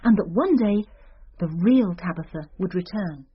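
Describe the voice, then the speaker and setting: Knowing, conspiratorial female teacher for radio drama, husky voice, dull lo-fi recording. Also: medium pitch, animated, moderate pace. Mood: confiding, uneasy. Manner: confiding, conspiratorial. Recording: muffled, lo-fi old radio recording.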